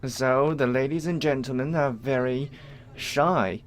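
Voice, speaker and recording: Halting Chinese-accented man interpreting live. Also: mid-range voice. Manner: halting, piecemeal phrasing with repeated false starts. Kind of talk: live interpreting of event coverage.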